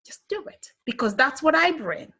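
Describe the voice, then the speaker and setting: A woman's voice: sits high, big pitch swings, moderate pace, sharp tone. A woman with a Kenyan accent giving an earnest, encouraging motivational talk to an online audience. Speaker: motivational speaker.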